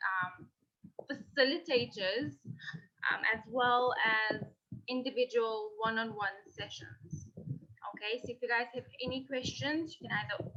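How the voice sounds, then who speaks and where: A young woman from South Africa greets a class over a laptop video call from her kitchen. Her voice is high and bright, with a slightly dull, muffled call sound. She talks in a friendly way with frequent pauses. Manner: warm greeting, many "um" pauses. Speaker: language facilitator. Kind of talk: friendly self-introduction. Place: kitchen indoors. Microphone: laptop webcam on a video call.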